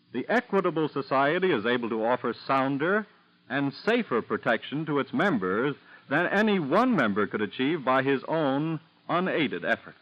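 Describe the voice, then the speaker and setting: An American man reading a scripted sponsor message as a formal, emphatic 1940s radio announcer. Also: medium pitch. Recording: muffled old 1940s radio recording.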